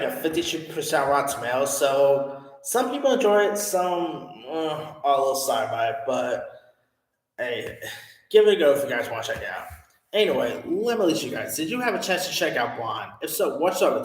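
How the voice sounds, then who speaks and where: A man giving a casual, rambling film review, full of fillers and restarts. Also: a little high. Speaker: movie reviewer. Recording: echoey room with long reverb.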